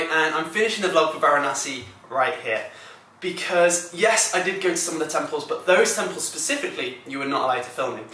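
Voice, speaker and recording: A man's voice: fairly high pitch, pushed and bright, breathy edge. A young man talking casually to viewers in a travel vlog, filmed at arm's length on a camera mic. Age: young adult. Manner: chatty, upbeat, addresses viewers directly. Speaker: travel vlogger. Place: outdoors by a river and in a bedroom. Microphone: camera mic, selfie at arm's length.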